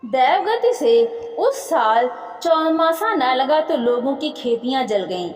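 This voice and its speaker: High-pitched, animated woman storyteller in echoey room. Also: slow pace, soft background music. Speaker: story narrator. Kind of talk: expressive reading of a moral folk tale. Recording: echoey room with long reverb.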